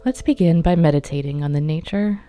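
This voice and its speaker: Low-pitched woman guiding meditation over music. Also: phone-quality sound. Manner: calm, measured, instructional. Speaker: meditation guide. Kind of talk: guided meditation narration.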